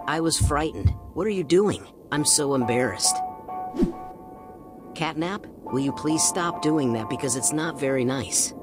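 A man voicing a flustered, polite cartoon dog in a comic dub, with music underneath. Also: on the high side.

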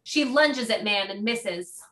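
A woman narrates stage directions from a script. Her voice is crisp-edged and somewhat high, and she states each action plainly. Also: animated, slow pace, bright, forceful tone. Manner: plain, declarative.

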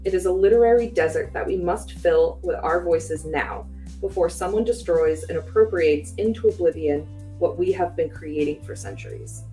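A woman from the publishing staff presenting a picture book on a studio panel, reading prepared remarks in a measured way. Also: lowish voice, dark tone, faint music behind. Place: bookshelf studio set, indoors.

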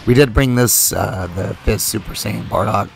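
A man's voice: fairly low pitch, moderate pace, vocal fry, slight background noise. A man casually commenting on a mobile game as he plays it, thinking aloud. He is losing his voice from being a little sick. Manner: relaxed, thinks aloud with fillers while playing. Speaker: mobile game video creator.

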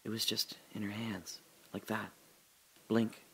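A man performing a reflective audio drama monologue, speaking slowly and often dropping to a whisper. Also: fairly low pitch, little pitch movement, slight background noise, rough recording. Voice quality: slow, gravelly and husky, often dropping to a whisper. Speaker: radio drama narrator and lead.

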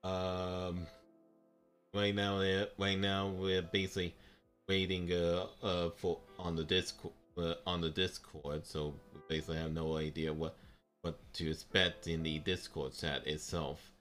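Halting low-voiced man streaming a game. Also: flat delivery, a bit bright, soft background music. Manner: halting, many fillers and repeated words. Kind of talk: live game stream chat. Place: home room, indoors.

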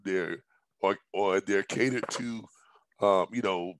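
A hoarse middle-aged man giving a rambling business pitch over a headset on a video call from home, with frequent ums, repeated words and coughs. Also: slow pace, a bit bright, no echo. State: hoarse, recovering from illness. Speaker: business presenter. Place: indoors at home, large room with staircase.

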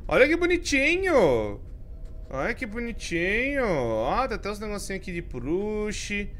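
A Brazilian man in his thirties, a streamer giving jumpy commentary as he plays a horror game, swinging from mumbles to yells. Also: high voice, sing-song, unhurried, faint music behind. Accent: Brazilian Portuguese. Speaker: gaming streamer. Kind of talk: let's play commentary. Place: home streaming room with colored lights.

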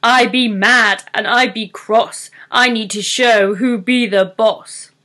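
A brutish giant troll from a children's storybook, acted out by a woman. He boasts and bullies in gruff, broken rustic grammar. The voice is forceful and bright, greedy in every phrase. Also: fairly high pitch, steady tone, takes it slowly, heavily acted. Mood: cross.

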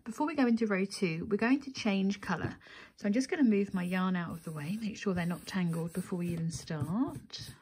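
Husky-edged woman giving craft instructions. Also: mid-range voice, a little fast, a little mellow. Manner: methodical, counting steps aloud. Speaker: crochet tutorial instructor. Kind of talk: step-by-step craft tutorial narration.

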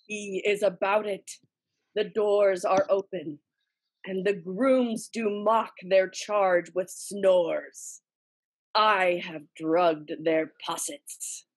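A woman playing a steely, scheming noblewoman in an amateur read-through of a classical verse drama, in a measured, dramatic manner. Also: medium pitch, steady tone, rough recording. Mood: tense. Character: scheming, steely wife of a murderous lord. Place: small room at home, indoors. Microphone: computer mic on a video call.

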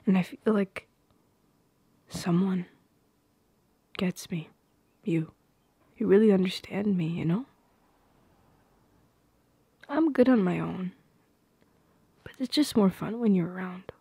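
Female performer as shy, tender young woman in love, ASMR roleplay. Breathy, whispery, hesitant, with plenty of vocal fry and slow delivery. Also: on the low side, dark tone, no room sound, exaggerated voice. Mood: nervous, tender.

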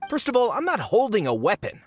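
An actor playing a smooth, slippery male foreign researcher in a game, glib and persuasive. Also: sits high, huge pitch swings, brisk, background noise.

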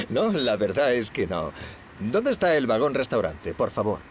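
A man playing a patient, cheerful young father in a Castilian Spanish cartoon dub, explaining things gently and encouragingly. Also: mid-range voice, big pitch swings, a little slow, slight background noise. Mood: reassuring. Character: patient, cheerful young dad of a small boy. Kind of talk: children's cartoon dubbing.

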